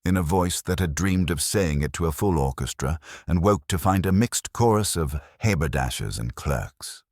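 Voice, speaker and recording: A man narrating a period comic mystery from a script in a wry, measured, literary manner. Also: sits low, animated, darkish tone, dry recording, clean studio sound. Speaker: narrator of an audio mystery story.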